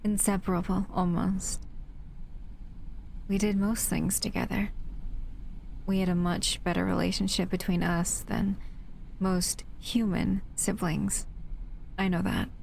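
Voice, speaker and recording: A woman playing a gentle, protective shadow monster in an intimate audio roleplay, speaking softly and affectionately to the listener. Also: medium pitch, flat delivery, a little slow, vocal fry, long pauses, slight background noise. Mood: wistful.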